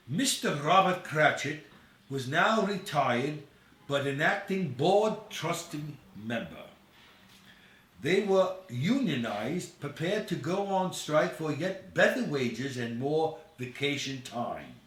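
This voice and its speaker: A man's voice: a little high, fairly bright tone. A man reading a short story aloud in a theatrical, declamatory storybook style. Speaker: author reading his own short story. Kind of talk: dramatic storybook narration. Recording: echoey room, distant from mic.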